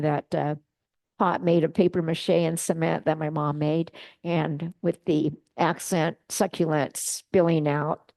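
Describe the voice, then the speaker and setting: Low, gravelly woman, chatty slide talk. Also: no room sound. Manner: relaxed, chatty, many fillers and restarts. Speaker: guest presenter at a bonsai club meeting. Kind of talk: casual slide-show talk.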